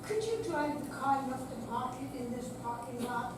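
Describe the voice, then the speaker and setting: Woman reading as a high-voiced stern policewoman, echoey. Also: lively intonation. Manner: formal, firm, deliberate. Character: stern, by-the-book female police officer. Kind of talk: staged reading of a play. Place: senior center hall, live performance. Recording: echoey room, distant mic, loud noise.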